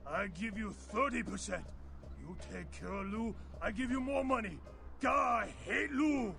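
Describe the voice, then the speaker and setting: A high, crackly Chinese-accented schemer, drawn out and excitable. He is a mocking fortune teller from a video game, speaking broken English over prominent music. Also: unhurried, vocal fry, full character voice. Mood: indignant, scheming. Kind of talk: video game character acting.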